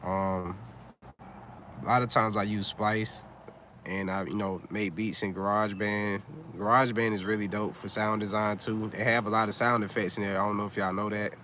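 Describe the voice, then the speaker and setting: A man's voice: fairly low pitch, flat delivery, moderate pace, pushed and bright, slight background noise. A man in his thirties chatting casually on a livestream, laid-back and rambling, full of fillers and slang. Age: 30s. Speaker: vlogger on a livestream.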